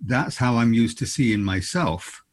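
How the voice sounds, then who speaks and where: Husky Scranton-accented co-host. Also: male voice, medium pitch, lively intonation. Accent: Scranton, Pennsylvania. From Scranton, Pennsylvania. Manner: measured, asks questions, tells anecdotes. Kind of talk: casual interview chat.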